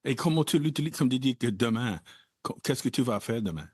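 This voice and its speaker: Animated male interview host, dry sound. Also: mid-range voice, brisk, husky, no echo. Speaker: podcast host. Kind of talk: conversational interview.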